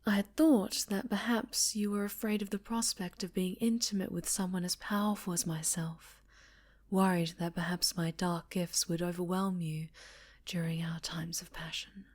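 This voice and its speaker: A woman playing a sinister, possessive female superhero villain in an ASMR roleplay, speaking softly with sweet menace and often whispering. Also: on the low side, level tone, natural voice. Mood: possessive, soothing. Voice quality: soft, breathy, often whispered. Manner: slow, sweetly menacing, coaxing.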